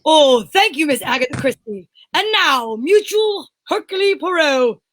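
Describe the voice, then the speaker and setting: Woman as a high-pitched old-time radio announcer. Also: animated, unhurried, fairly bright tone, no echo. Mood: grand, presentational. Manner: declamatory, stop-and-start reading. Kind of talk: amateur 1940s radio announcing.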